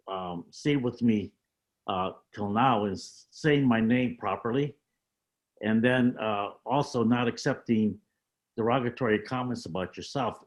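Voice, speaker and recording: A man in his seventies, a panel guest on a video call, telling stories from his life in a measured, halting way with many fillers. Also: mid-range voice. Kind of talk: reflective storytelling on a panel.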